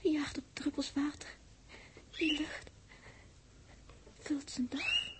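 An actress playing a gentle, dying young woman in a 1960s Dutch radio play, faint and halting. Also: sits high, huge pitch swings, whispered, exaggerated voice. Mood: tender, faint. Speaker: radio drama actor. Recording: 1960s radio drama recording.

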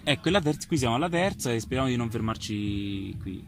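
A man gives spontaneous, explanatory interview answers, pausing and hesitating as he goes. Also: medium pitch, animated. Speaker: photographer and exhibition curator being interviewed. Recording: clear ambient noise behind the voice.

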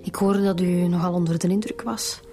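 A woman's voice: fairly low pitch, steady tone, brisk, dark tone, soft background music, lightly acted. A woman playing an informal, chatty police detective in a radio drama, with a Flemish accent. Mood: businesslike. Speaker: actress playing a police inspector.

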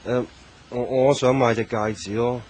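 A man's voice: mid-range voice, steady tone, moderate pace, a little mellow, slight background noise, lightly acted. A man acting as a bashful, nervous young man in a radio drama, hesitant and stammering, with a Hong Kong Cantonese accent.

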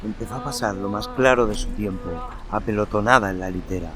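A man narrating a horror story in a measured, dramatic way, with lingering pauses. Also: fairly low pitch. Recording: narration over background music.

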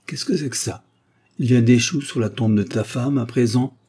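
Gruff, bossy village mayor played by a man. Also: medium pitch, normal speed, dark tone, close to an everyday voice. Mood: suspicious. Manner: bossy, blunt, scolding. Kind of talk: literary audiobook dialogue.

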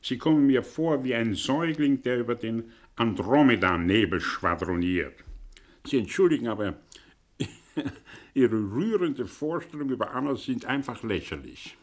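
An actor playing a proud, condescending older professor in a radio play, husky, ironic and erudite. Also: male voice, medium pitch, animated. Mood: mocking. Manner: ironic, erudite, halting when agitated.

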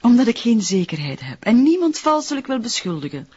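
An actress playing a guarded, stern nun who runs a convent, speaking formally and in a measured way with a Flemish accent, in a detective drama. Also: female voice, on the high side, big pitch swings, a little mellow, husky, lo-fi sound. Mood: measured, confiding. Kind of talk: naturalistic detective drama dialogue.

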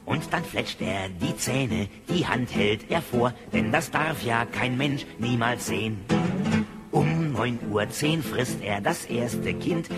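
Male singer-songwriter for satirical protest balladry, slow storytelling delivery with loud acoustic guitar accompaniment. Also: medium pitch. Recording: archive song recording with guitar. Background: plucked acoustic guitar.

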